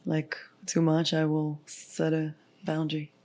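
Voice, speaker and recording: A woman from Israel, a podcast guest and healer, talking in a soft, reflective way and often pausing on "like.". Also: sits low, monotone. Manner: soft, reflective, full of "like" fillers. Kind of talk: casual heartfelt conversation.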